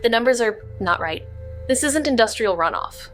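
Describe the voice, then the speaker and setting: An actress playing a level-headed, analytical amateur detective in a noir audio drama, speaking in a measured, matter-of-fact way. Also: female voice, on the high side, expressive, faint music behind, close to an everyday voice. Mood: grim, focused.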